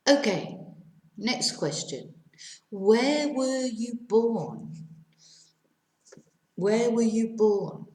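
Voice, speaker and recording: Slow, clear woman teaching. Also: on the high side, expressive, dark tone, reverberant. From England. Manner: slow and clear, repeats each question, switches between English and Spanish. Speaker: English teacher. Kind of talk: language lesson for Spanish speakers.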